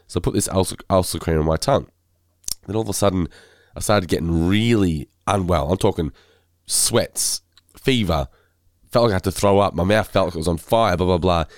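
A man co-hosting a casual podcast, his voice congested and hoarse from a head cold, talking in a weary, self-deprecating way. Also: low voice, brisk, dry recording, studio quality. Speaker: podcast co-host.

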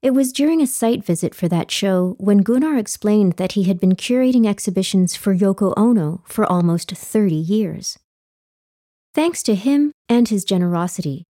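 A woman's voice: medium pitch, mellow, dry recording, studio quality. A woman curator speaking as an interviewer, articulate and earnest in her delivery. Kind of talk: scripted introduction and conversational interview.

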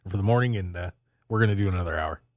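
A man's voice: low-pitched, racing, dry recording, clean studio sound. An American man hosting a casual sports talk radio show in a jokey, rambling way. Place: dry radio studio.

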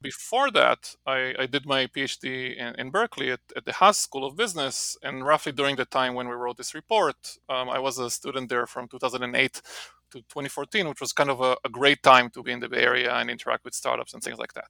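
Man, Israeli accent, gravelly, bright, relaxed, restarts often, dry sound. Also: mid-range voice, fairly quick, no echo. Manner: relaxed, rambling with many restarts. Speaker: marketing professor, guest. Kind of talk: casual interview talk. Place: home office.

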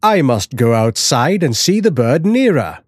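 A man voicing a cheerful, oblivious father who admires the bird brightly. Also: mid-range voice, very animated, a little slow, no room sound, studio quality, voice pushed up. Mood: delighted.

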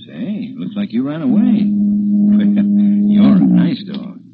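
An actor playing a weary, long-suffering bookish husband with halting speech in a 1940s radio drama. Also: male voice, on the low side, wildly swooping pitch, creaky, heavily acted. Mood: friendly. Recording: dull 1940s radio recording with music.

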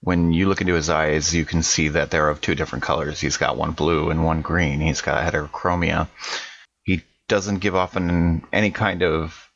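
A man's voice: rumbling low, flat delivery, moderate pace, vocal fry, a bit bright, dull audio. A man playing a tabletop game, describing a character off the cuff.

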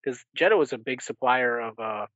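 A man podcast host giving a casual recap in an animated storytelling way. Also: medium pitch, fairly quick, no room sound, muffled recording.

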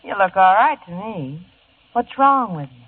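A woman's voice: medium pitch, sing-song, unhurried. An actress playing a wisecracking, flirtatious woman who works at a beauty salon, in 1950s radio drama style. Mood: teasing. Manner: sassy, teasing. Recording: tinny old radio broadcast.

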